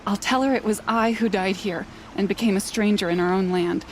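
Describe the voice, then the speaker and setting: A woman's voice: medium pitch, fairly even intonation, brisk, slight background noise, lightly acted, low-quality recording. A woman playing a mourning tribeswoman in a video game, speaking solemnly and poetically. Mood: solemn grief. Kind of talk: video game dramatic dialogue.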